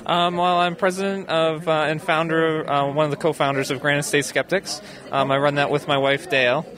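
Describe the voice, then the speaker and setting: A man's voice: on the high side, flat delivery, brisk. An American man answering interview questions casually, hesitating and thinking aloud in an easygoing way. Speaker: interview guest, skeptics group founder. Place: busy convention venue. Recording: busy crowd chatter behind.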